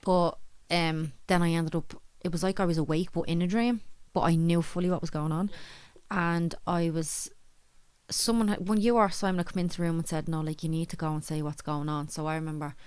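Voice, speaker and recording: She is an Irish woman from the Dublin side of things by her accent, telling stories in loose, colloquial chat. Her voice sits a bit low and is very clean and smooth. The sound is dry, with hardly any room in it. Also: fairly even intonation, brisk, a little mellow, no echo. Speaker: podcast speaker telling personal stories. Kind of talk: casual chat, anecdotes.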